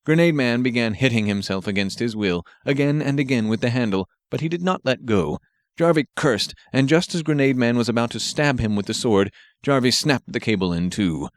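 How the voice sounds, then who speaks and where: A man reads an action story as an audiobook narrator. His voice sits at an average male pitch, and the recording is very dry, with no room sound around it. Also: lively intonation, a little fast, no room sound.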